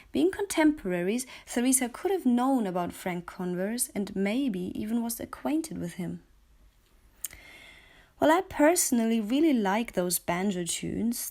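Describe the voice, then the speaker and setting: A woman's voice: medium pitch, darkish tone. A woman from Bavaria, a native German speaker, reads aloud and comments in German-accented English as a voice-over narrator. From Munich, Bavaria, Germany. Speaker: voice-over artist, reader.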